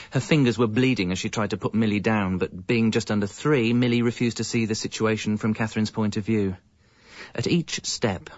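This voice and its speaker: A man's voice: fairly low pitch, no echo, dull audio, natural voice. An English man playing a hapless, evasive young father, narrating and talking in a radio comedy with a hesitant, wry manner. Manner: hesitant, self-justifying, wry. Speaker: narrator and lead character.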